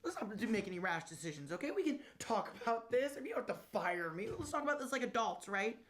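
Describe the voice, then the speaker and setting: A man's voice: high-pitched, very animated, quick pace, fairly bright tone. A man playing a defensive, needy office employee who stammers as he argues in a comedy sketch. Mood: pleading.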